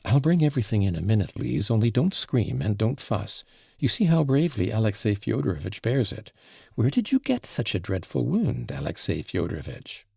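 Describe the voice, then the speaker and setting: A man reading aloud and voicing an excitable, fretful middle-aged mother who gushes in a high, swooping voice. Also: moderate pace, creaky, breathy edge, no room sound, studio quality, heavily acted. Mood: alarmed. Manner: gushing, breathless, high and swooping. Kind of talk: audiobook reading of a classic novel.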